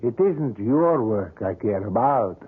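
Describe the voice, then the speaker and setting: An actor playing a sinister, overly polite male sculptor in a 1970s radio drama, speaking formally with halting hesitations. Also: medium pitch, lively intonation, breathy edge. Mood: persuasive. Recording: tinny lo-fi old radio broadcast.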